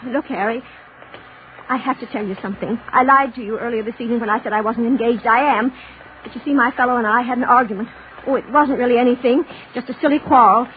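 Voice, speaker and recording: A woman plays a timid, apologetic young American woman in an old radio play. Her voice is very high and anxious, heard through a tinny recording with clear noise. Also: fast, natural voice. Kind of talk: 1940s radio drama acting.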